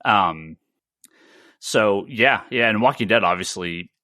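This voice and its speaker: Man, podcast co-host, lowish bright voice, halting stammered repeats, casual discussion, dry close sound. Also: expressive, dry recording.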